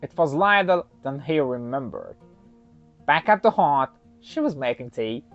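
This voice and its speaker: A storyteller narrating a folktale in an expressive, dramatic way, with deliberate pauses. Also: mid-range voice. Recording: slightly muffled, soft music underneath.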